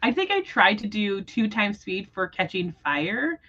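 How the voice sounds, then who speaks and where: A woman's voice: mid-range voice, sing-song, measured pace, pushed and bright, lo-fi sound. A woman hosting a book readalong livestream, talking casually about books. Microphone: livestream microphone.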